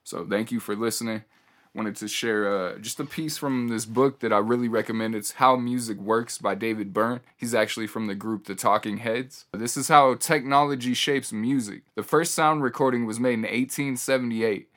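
A man's voice: medium pitch, moderate pace, a bit bright. A man hosting a podcast solo, speaking casually in a laid-back way with hip-hop slang and sometimes reading passages out. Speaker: podcast host.

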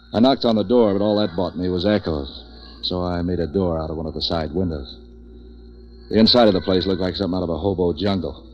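A hard-boiled man for hire out of an old radio noir, acted by a man. His voice sits very low and he talks in clipped, deadpan wisecracks, street-wise and tough. The recording is dull 1940s lo-fi. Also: close to an everyday voice. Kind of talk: 1940s radio noir first-person narration and dialogue.

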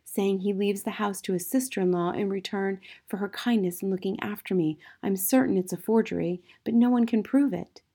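A woman playing a gentle, modest young schoolteacher in a storybook, confiding in a soft, restrained way with quiet resilience. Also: mid-range voice, fairly quick, few pauses, husky. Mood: resigned.

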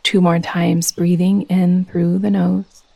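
A woman leading a guided meditation as a yoga nidra teacher, speaking in a soothing, gentle, unhurried manner. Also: on the low side, flat delivery, creaky.

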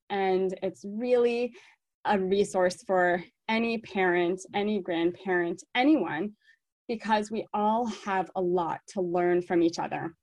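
An American woman speaks alone as a podcast host, addressing her listeners directly. She takes her time and leans on key words for emphasis. Her mid-pitched voice sits in a dry recording with very little room sound. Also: no room sound. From born in South Africa, raised in the United States. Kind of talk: solo podcast talk to listeners.

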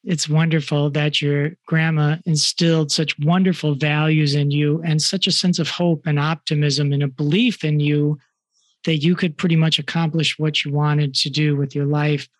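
A woman's voice: sits low, flat delivery, normal speed, dry recording. Woman interviewer, dry close sound. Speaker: podcast host, interviewer. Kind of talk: conversational interviewing.

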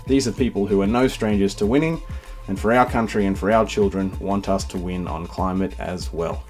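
An Australian man with a deep voice delivers a scripted audiobook introduction as the author. His voice sits low, with music playing underneath. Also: fairly even intonation, a little fast, darkish tone. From Sydney, Australia.

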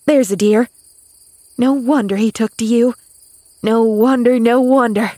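An excitable housemaid from a storybook, acted out by a woman narrator. Her pitch rides high as she gossips in folksy, rustic, ungrammatical phrases. She is dramatic and repeats herself, recorded close and dry. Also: a little slow, no room sound. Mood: awestruck, eager. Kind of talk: audiobook character voice.

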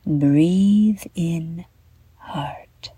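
A woman guiding a children's bedtime meditation in a soft, breathy half-whisper, gently and soothingly, with deliberate pauses. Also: on the low side, no room sound. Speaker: meditation guide and show host.

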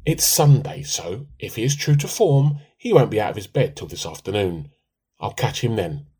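A man's voice: lowish voice, expressive, normal speed, dark tone, husky. A man playing a put-upon, dryly sarcastic middle-aged husband in a radio play. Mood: placating.

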